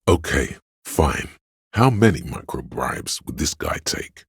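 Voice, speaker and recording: An actor voicing a grave, watchful, wry inner voice of police loyalty in a video game, with a gravelly, husky bass and measured, solemn storytelling. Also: male voice, very animated, dry recording, clean studio sound, exaggerated voice. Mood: solemn, dry. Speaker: game narrator. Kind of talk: scripted literary narration.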